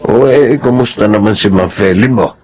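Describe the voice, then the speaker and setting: A man playing an ailing, gentle elderly father in a Filipino radio drama, speaking haltingly and tenderly. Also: fairly low pitch, close to an everyday voice. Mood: tender, weary.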